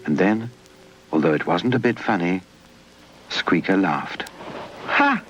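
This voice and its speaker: A British man narrating a scripted children's puppet story in an animated, dramatic way. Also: low-pitched, unhurried. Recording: dull lo-fi 1980s VHS tape. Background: music.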